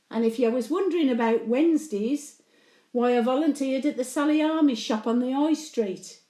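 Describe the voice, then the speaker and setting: A woman's voice: a little high, mellow, roomy sound. A woman playing a blunt, antisocial elderly Birmingham widow, telling chatty stories in local dialect as a dramatic monologue. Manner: blunt, grumbling, colloquial dialect. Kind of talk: dramatic monologue, chatty storytelling to late husband.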